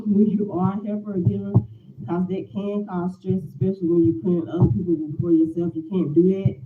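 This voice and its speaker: A woman's voice: lowish voice, reverberant, phone-quality sound, background noise. A woman in her forties, a lifestyle vlogger chatting casually to viewers, rambling and repeating herself with many filler words. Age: 40s. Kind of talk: casual morning chat to viewers.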